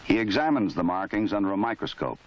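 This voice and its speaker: An American man reading formal scripted narration for a training film in a measured, authoritative, matter-of-fact way. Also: on the low side, very animated, a little hiss or hum. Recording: dull lo-fi 1970s film soundtrack.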